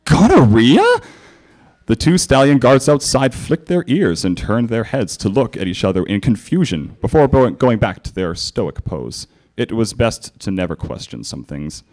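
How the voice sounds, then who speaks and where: A man's voice: lowish voice, very animated, normal speed, hardly any pauses, darkish tone. A man hosting a live comedic reading of fanfiction, amused and stumbling over the text at a convention panel. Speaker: fanfiction reader, panel host.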